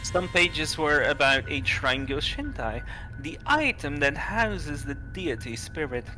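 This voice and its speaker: A man reading visual novel dialogue and narration aloud on a livestream, halting and stumbling over some words. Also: a little high, animated, music in the background, poor audio.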